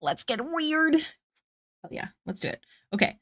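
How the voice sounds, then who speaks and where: A woman's voice: high voice, sing-song, a little slow, fairly bright tone. A young woman chatting casually with viewers while drawing on a live stream, giggly and self-deprecating.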